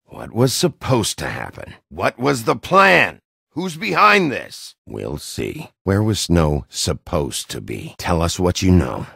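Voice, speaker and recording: An actor playing a gruff, hot-tempered werewolf detective in a video game, a middle-aged man with a gravelly, husky, blunt, intimidating delivery. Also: deep voice, wildly swooping pitch, takes it slowly, bright, forceful tone, no room sound, clean studio sound, exaggerated voice. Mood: stern, pressing. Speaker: interrogating lawman.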